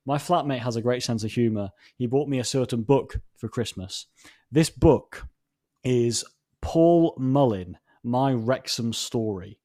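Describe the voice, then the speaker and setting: Male co-host, medium pitch, casual discussion with wry, wordy delivery. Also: a little mellow. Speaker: podcast co-host.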